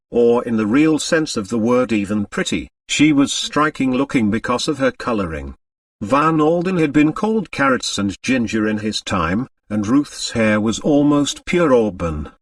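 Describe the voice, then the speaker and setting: Animated male audiobook narrator. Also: mid-range voice, measured pace, no echo, far from the mic. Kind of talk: scripted dramatic narration of a novel.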